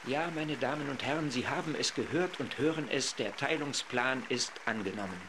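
A man's voice: mid-range voice, normal speed, natural voice. An actor playing a male radio reporter giving live commentary from a 1947 vote in a radio play. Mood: excited. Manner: steady commentary, swelling to excited announcing. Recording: crowd and noise behind. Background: assembly hall crowd murmur.

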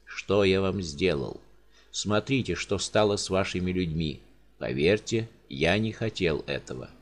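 A man voicing a gentle, mournful four-hundred-year-old male giant in an audiobook, speaking deep, heavy and slow. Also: expressive. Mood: plaintive.

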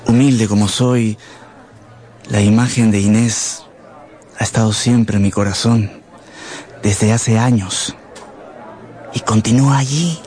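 An actor playing a falsely humble, scheming clerk in a radio drama, speaking with unctuous, hesitant false pity. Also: male voice, lowish voice, steady tone, slow pace, pauses often, darkish tone, some chatter behind. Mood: sly, confiding. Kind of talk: Spanish-language radio drama acting.

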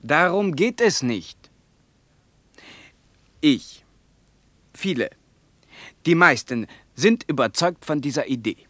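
A man's voice: fairly high pitch, fairly bright tone, no echo. An actor playing a zealous, scornful Soviet Russian man in a radio play, lecturing emphatically. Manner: emphatic, lecturing, evasive under questioning. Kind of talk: mid-20th-century German radio play dialogue.

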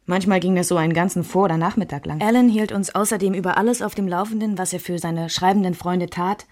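A woman acting as a self-assured member of the Beat circle, recalling her past in measured, intimate radio play narration. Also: on the low side, steady tone, darkish tone, dry recording, natural voice. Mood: reflective.

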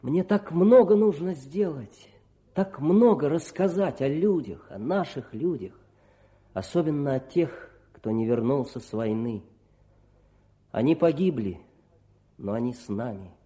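A man's voice: fairly high pitch, animated, a little slow, warm tone. An actor playing an earnest, patriotic male officer voicing his letter home in a heartfelt radio drama recitation. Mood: solemn, fervent. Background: faint music.

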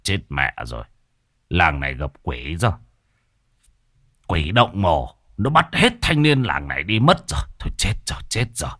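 A man voicing a boastful, scruffy aging male sorcerer who curses and mutters gruffly. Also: sits low, sing-song, a little slow, bright, forceful tone, dry recording, exaggerated voice. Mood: dismayed.

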